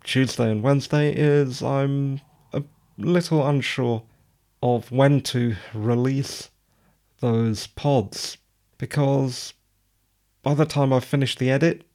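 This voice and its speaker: Man, podcast host, gravelly croaky voice, chatty and self-deprecating. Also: medium pitch, fairly even intonation, slow, a little mellow. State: slightly croaky voice. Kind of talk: casual solo podcast talk.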